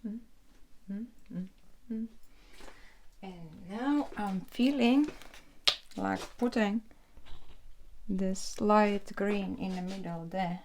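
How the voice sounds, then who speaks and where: A woman doing soft ASMR commentary while painting, unhurried and repeating her phrases soothingly. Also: mid-range voice, a little hiss or hum. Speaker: ASMR host painting on camera.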